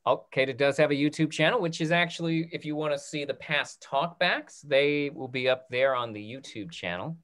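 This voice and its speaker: Higher-pitched man hosting an online talkback. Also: normal speed, hardly any pauses, fairly bright tone. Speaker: instructor hosting a theatre talkback. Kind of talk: informal announcements to a group. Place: indoor room on a video call. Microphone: computer microphone on video call.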